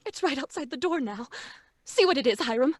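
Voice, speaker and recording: A woman's voice: sits high, animated, brisk. An actress playing a commanding, easily alarmed wife and mother in dramatic old-time radio style. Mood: tense. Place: small dark home booth. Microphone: close studio microphone.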